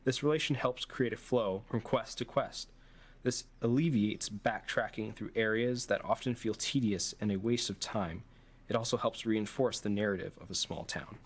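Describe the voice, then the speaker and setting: A man's voice: on the low side, moderate pace. A man giving explanatory developer commentary on the design of a video game.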